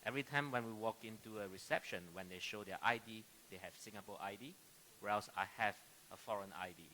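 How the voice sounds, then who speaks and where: A middle-aged man from Malaysia introduces himself as a panelist. His voice sits fairly low but is very bright and sharp. He speaks haltingly, and there is light noise behind him. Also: rough recording. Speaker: panelist, academic and AI company founder. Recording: live stage microphone with clear background noise.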